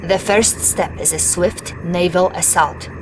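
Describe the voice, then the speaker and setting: An actress playing a loyal, composed Soviet intelligence lieutenant with a Russian accent, briefing dutifully in a game cutscene. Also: female voice, fairly low pitch, a little slow, noisy background, natural voice. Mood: warning.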